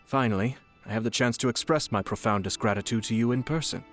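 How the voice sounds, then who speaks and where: A man's voice: mid-range voice, quick pace, fairly bright tone, natural voice. An actor playing a courteous young nobleman and clan head in a video game, formal, eloquent and composed. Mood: gracious, composed. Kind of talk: video game character acting. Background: music.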